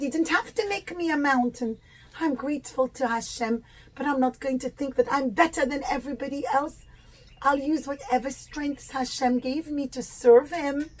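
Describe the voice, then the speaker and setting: A woman voicing a small, humble male mountain in a puppet show, modest, meek and soft-spoken. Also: high-pitched, low-quality recording.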